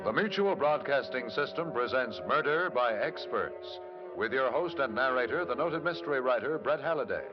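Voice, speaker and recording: A man announcing an old-time radio mystery show with booming, formal delivery. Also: lively intonation, normal speed. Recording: dull lo-fi old radio recording with music.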